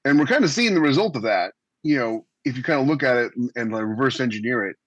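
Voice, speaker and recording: A man's voice: medium pitch, lively intonation, fast, no echo. A dull, dry, lo-fi recording of an American man with a mid-range voice. He co-hosts a podcast, explaining casually and repeating phrases to drive his point home. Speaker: podcast co-host. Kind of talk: casual panel discussion.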